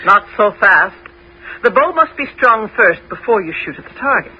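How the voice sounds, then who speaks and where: A woman playing a proud, clever queen and faithful wife in a 1970s radio drama, with a stately, measured delivery. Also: medium pitch, sing-song, slightly rough. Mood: commanding. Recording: dull 1970s radio broadcast.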